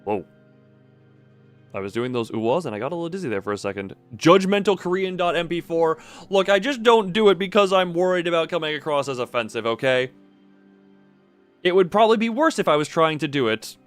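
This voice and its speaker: A man's voice: a little high, expressive, brisk, soft background music. A man streaming a game with casual live commentary, rambling and correcting himself as he goes.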